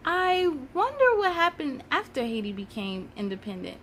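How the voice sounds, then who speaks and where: Woman playing a curious storybook pupil around twelve, high voice, eager and wondering, slight background noise. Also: sing-song, moderate pace, a bit bright. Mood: curious, impressed. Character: curious schoolchildren of about twelve, eager and impressed. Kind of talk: children's storybook dialogue.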